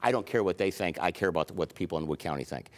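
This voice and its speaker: A man speaks low and steady. He answers as a county sheriff on a TV interview, earnest and emphatic, with frequent fillers. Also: racing. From United States.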